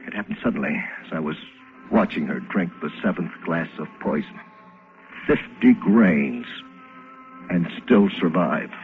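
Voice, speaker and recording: An actor playing a desperate, obsessive poisoner in a melodramatic, intense old radio drama style. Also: male voice, low-pitched, expressive, a little slow. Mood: grim, obsessive. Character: desperate, obsessive man secretly poisoning a woman, confessing. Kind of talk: 1940s radio drama acting, first-person narration. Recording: tinny, band-limited vintage radio, music behind.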